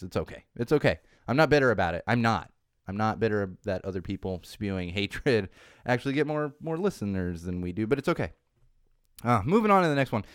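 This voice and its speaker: A man's voice: fairly low pitch, smooth, no room sound, clean studio sound. Wry rambling man hosting a podcast. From Southern California. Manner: rambling, wry, self-deprecating, frequent restarts. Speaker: podcast host. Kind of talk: solo podcast monologue on social trends. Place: home studio.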